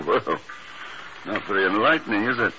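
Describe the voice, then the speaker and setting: An actor playing a cool, wry secret agent with a terse, understated delivery in a radio drama. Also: male voice, on the low side, measured pace, lightly acted. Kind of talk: 1950s radio drama acting. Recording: noisy, dull 1950s radio recording.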